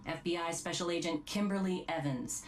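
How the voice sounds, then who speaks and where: A businesslike female task force chief in a crime game, acted by a woman, briefs in a notably deep voice. She is formal and composed, heard a little off-mic over faint noise. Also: moderate pace, recorded from a distance, close to an everyday voice. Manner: formal, crisp official briefing.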